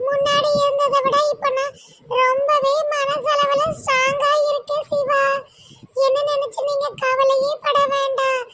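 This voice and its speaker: A performer voicing a young wife, a gentle but newly confident officer, speaking softly and earnestly. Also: female voice, very high voice, level tone, measured pace, no room sound, heavily acted. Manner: soft, earnest and reassuring.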